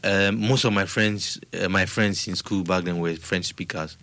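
A Nigerian musician telling stories in a relaxed, rambling way during a casual interview. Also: male voice, deep voice, moderate pace. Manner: relaxed, rambling storytelling with restarts. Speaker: musician guest.

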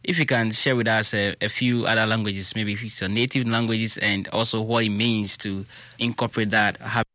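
A man's voice: on the low side, steady tone, normal speed, pushed and bright, no room sound. A man from Ghana interviewing a guest, listening closely and nodding along with short replies. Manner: attentive, frequent short acknowledgements. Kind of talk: casual interview chat.

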